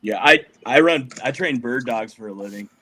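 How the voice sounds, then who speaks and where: A man's voice: mid-range voice, lively intonation, moderate pace, sharp tone. A middle-aged man from Colorado, a musician guest talking casually in a rambling, laid-back way. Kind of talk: casual podcast interview. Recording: noisy background on a remote line.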